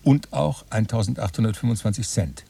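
An obsessive, fussy writer in a radio play, reasoning with himself. The voice is low, dark and creaky, slightly away from the microphone. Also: male voice, a little fast, distant mic. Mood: fussy, brooding. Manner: self-questioning, fussy, methodical. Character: obsessive, muttering male writer calculating his supplies. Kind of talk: German radio play interior monologue.

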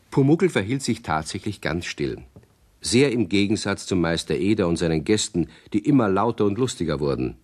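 A man narrating a children's radio play in speech, as a storyteller explaining things to young listeners. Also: on the low side.

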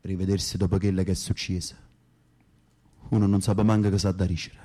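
An actor with a thick Neapolitan accent playing a brooding, hardened mafia henchman in prison in a radio drama, gruff and blunt in dialect. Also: low voice, flat delivery, fairly quick, dark tone. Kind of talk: Italian radio theatre acting.

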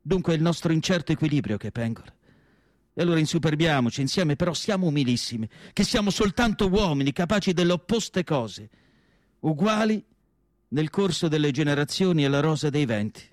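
A man reciting literary prose as a radio theatre monologue, solemn and declamatory, with deliberate phrasing and dramatic pauses. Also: fairly high pitch, lively intonation, dry recording, studio quality.

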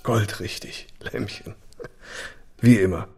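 A man playing a worldly, roguish crook with a soft heart in a radio drama, husky, wry and familiar. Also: lowish voice, steady tone, slow, loud music behind the voice. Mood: wistful. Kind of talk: German radio drama acting.

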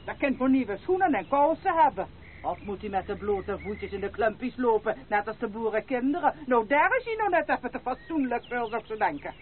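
Sly, sneering old village woman played by an actress, folksy and very lively, old hissy radio play sound. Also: on the high side, fairly quick, natural voice. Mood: indignant. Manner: scolding, sneering, folksy rural speech. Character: brash, grasping old village grandmother, scolding and sly. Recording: tinny, band-limited, hissy old radio recording.

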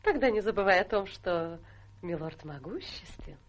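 An actress playing a charming, ambitious young society wife in a radio play, coquettish and breezily self-assured in a theatrical manner. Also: female voice, fairly low pitch, animated, normal speed, highs cut off. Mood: cool, coaxing.

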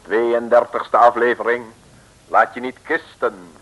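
A man's voice: mid-range voice, flat delivery, unhurried, highs cut off, soft background music. A man narrating a scripted radio serial in a wry, chatty storytelling manner, on a vintage recording.

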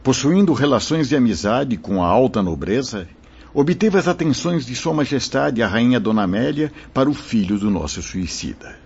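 An actor playing a wise, kindly spirit mentor in a radio drama, speaking in a solemn, formal, explaining way with a husky voice. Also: male voice, medium pitch, big pitch swings, moderate pace, darkish tone. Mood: solemn, benevolent. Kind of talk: radio drama acting, declamatory. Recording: muffled lo-fi radio drama recording.

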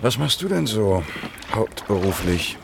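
Radio drama role: flirtatious economist, mid-thirties, slightly low voice, dry wit, clear boat and water noise. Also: male voice, big pitch swings, measured pace, full character voice. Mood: wry, flirty. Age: 30s. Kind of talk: naturalistic German radio drama dialogue.

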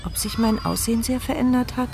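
A woman's voice: a little high, brisk, creaky, a little mellow, a faint crowd, slight background noise. An actress playing a bitter, sardonic paralysed woman who speaks her inner thoughts as the narrator of a radio drama. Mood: wistful. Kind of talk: radio drama first-person inner monologue. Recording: studio radio play with music underneath.

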